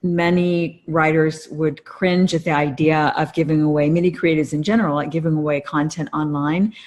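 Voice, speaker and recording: Middle-aged female podcast co-host, notably low pitch, earnest and explanatory, conversational delivery. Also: steady tone, normal speed, darkish tone. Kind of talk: casual conversational podcast talk.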